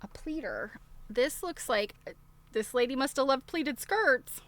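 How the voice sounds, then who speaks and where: A woman's voice: sits high, expressive, a little slow, a bit bright, dry recording. A woman hosting a casual unboxing livestream, rambling and thinking aloud as she shows items and puts questions to her viewers. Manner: chatty show-and-tell, asking viewers questions. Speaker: livestream host.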